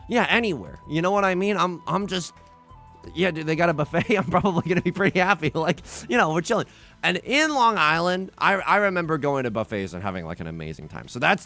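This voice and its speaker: A young man giving excitable, joking commentary as he plays a tile game, often restarting mid-sentence. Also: fairly high pitch. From China, raised in the US. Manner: excitable, jokey, many quick restarts. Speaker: let's play host. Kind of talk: casual gameplay commentary.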